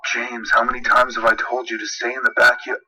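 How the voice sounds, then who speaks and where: A man's voice: mid-range voice, monotone, a little fast, pushed and bright, recorded from a distance, slight background noise, pitched above the performer's own voice, poor audio. A man playing a worried, stern but loving father in a horror story, questioning his young son with anxious insistence. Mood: stern concern.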